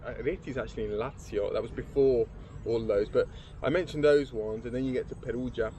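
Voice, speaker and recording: A man's voice: medium pitch, brisk, warm tone, a little hiss or hum. A man co-hosting a podcast in casual chat, rambling and restarting as he explains things. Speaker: podcast co-host.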